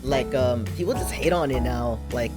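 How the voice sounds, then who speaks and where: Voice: medium pitch, moderate pace. Easygoing stream host with questions. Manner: easygoing, curious interviewer. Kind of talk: casual chat. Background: background music.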